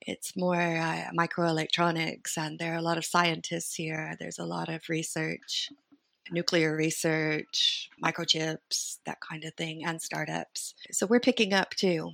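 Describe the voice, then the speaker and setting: A woman's voice: deep voice, flat delivery, a little slow, creaky, a bit bright, dry recording. A middle-aged American woman chatting as an interview guest, talking freely and thinking aloud with fillers. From United States and Sweden. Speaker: interview guest, company founder. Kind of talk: casual conversational interview.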